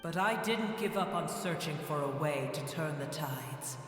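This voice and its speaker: An actor voicing a proud, scornful ancient dragon in a video game, speaking slowly and solemnly. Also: mid-range voice, lively intonation, over music, lightly acted. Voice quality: slow, grave and solemn. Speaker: game character. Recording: heavy cavernous echo with long reverb.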